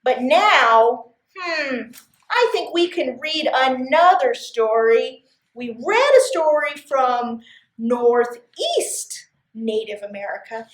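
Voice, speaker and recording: A woman reading a story to young children in an expressive, sing-song way, often putting questions to her listeners. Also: high-pitched, reverberant, low-quality recording. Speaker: library story time host and reader.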